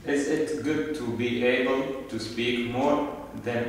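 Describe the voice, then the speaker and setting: A man interviewing, asking hesitant, slow questions with non-native grammar. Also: medium pitch, fairly even intonation, slight background noise. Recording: echoey room recorded from a distance.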